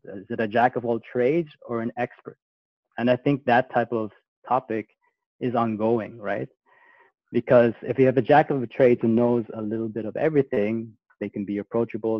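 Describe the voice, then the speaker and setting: A man's voice: on the low side, dry recording. A man born in Austria, giving a casual talk on a video call, hesitant and full of fillers and restarts. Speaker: guest speaker. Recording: muffled dry video-call audio.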